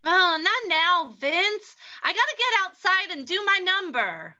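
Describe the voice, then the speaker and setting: Piercingly bright woman playing a showgirl, no-nonsense, unhurried, old-time radio drama, muffled recording. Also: extremely high pitch, very animated, exaggerated voice. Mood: impatient, scolding. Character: brisk, no-nonsense saloon showgirl. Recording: dull lo-fi recording.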